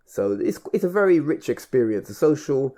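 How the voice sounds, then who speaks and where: A man hosting a radio conversation, speaking reflectively in measured, halting phrases and building on repeated words. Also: mid-range voice, sing-song, dark tone. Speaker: radio show host and event organiser.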